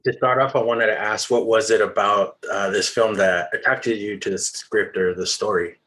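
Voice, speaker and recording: A man's voice: on the low side, fairly even intonation, moderate pace, fairly bright tone. A man interviewing an actress over a video call, asking polite questions with some hesitation.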